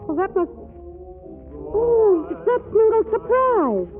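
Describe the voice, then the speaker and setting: A flustered, wry young wife in a vintage radio comedy. Her high voice swoops through arch, hesitant lines. The sound is tinny and phone-like, with music underneath. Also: sing-song, slow pace, full character voice. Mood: resisting, tender. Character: flustered, wry wife torn between love and money. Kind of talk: 1940s radio comedy acting. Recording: tinny old radio broadcast, music behind.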